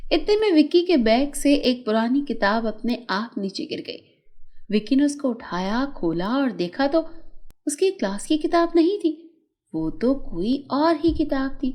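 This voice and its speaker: A woman's voice: sits high, expressive, unhurried, darkish tone. A woman narrating a scripted fairy tale for children.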